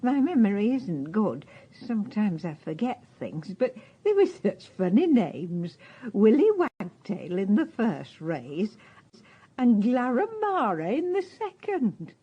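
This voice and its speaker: An actress playing a gentle, forgetful, anxious elderly woman in a radio crime drama. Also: mid-range voice, sing-song, slow, husky, lo-fi sound, heavily acted. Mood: hesitant, anxious. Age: older.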